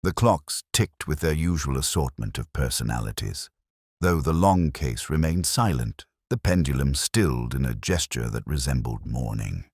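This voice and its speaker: A man playing a melancholy older detective inspector, narrating case notes in a measured, reflective, literary way. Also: rumbling low, animated, breathy edge, no echo, studio quality, exaggerated voice. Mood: solemn, brooding. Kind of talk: scripted first-person literary narration.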